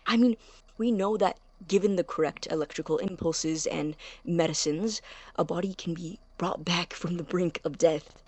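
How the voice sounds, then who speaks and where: Audio drama student, played by a woman: young man, eager and intellectual, insistent, lowish voice, dry recording. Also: moderate pace, darkish tone, dry recording, close to an everyday voice. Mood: fervent, insistent.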